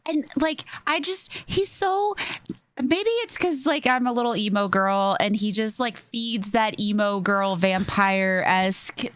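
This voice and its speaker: A woman's voice: medium pitch, measured pace, no room sound. A woman co-hosting a podcast, chatting casually about films in a gushing, emphatic way with swearing. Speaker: podcast co-host. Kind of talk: casual chat, movie discussion.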